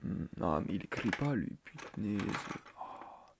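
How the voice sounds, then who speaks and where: A man playing a fussy, flustered schoolteacher in a soft-spoken ASMR roleplay, hesitant, breathy and stiffly formal, often muttering near a whisper. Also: on the low side, sing-song, slow, background noise, full character voice. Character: fussy, flustered male schoolteacher on first day.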